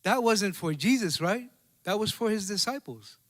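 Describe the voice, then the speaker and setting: A man's voice: high voice, lively intonation, moderate pace, a bit bright. A man with a Samoan accent preaching a bilingual sermon at a church service, conversational and checking in with the congregation. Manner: conversational preaching with tag questions. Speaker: pastor.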